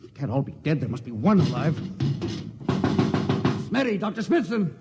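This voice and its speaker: An actor playing an embattled spaceship captain, urgent and dramatic, in a 1950s radio drama. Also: male voice, on the high side, sing-song, moderate pace, over loud music. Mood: desperate. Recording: noisy vintage radio recording.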